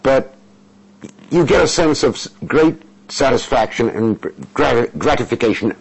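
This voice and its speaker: Older male interviewee, Russian-born interpreter, middle-pitched, hesitant and measured, radio sound. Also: lively intonation, gravelly, husky, rough recording. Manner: halting, many fillers and restarts, measured. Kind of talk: interview answers.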